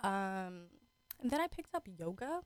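A woman's voice: mid-range voice, big pitch swings, measured pace, no room sound. A giggly, chatty woman in her twenties from the Caribbean, talking casually as a radio show guest. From Caribbean, raised in Trinidad and the British Virgin Islands. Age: 20s. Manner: giggly, chatty, acts out her own remarks. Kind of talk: casual chat.

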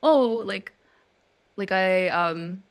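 A woman's voice: mid-range voice, very slow. A young woman from Nepal co-hosting a casual chat. She talks in loose, rambling sentences full of fillers and restarts. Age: young adult. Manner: chatty, many fillers and restarts. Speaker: co-host.

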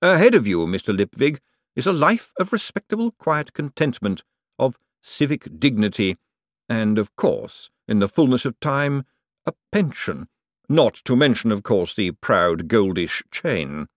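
A man's voice: medium pitch, very animated, no room sound, studio quality. A man acting an urbane, coolly menacing lord. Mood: coolly commanding. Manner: measured, formal, drily ironic. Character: urbane, calmly menacing ruling lord, dry and precise.

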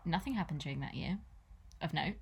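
A young English woman in her twenties co-hosting a casual podcast, dry and self-mocking with quick asides. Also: low voice, creaky, breathy edge. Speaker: podcast co-host. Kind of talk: casual chat.

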